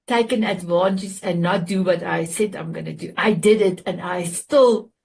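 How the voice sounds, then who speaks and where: A middle-aged woman with a South African accent, a native Afrikaans speaker, hosting a group chat and sharing her story in an earnest, halting way with fillers and restarts. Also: on the low side, vocal fry, a little mellow. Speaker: show host. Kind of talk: casual heartfelt sharing on a video call.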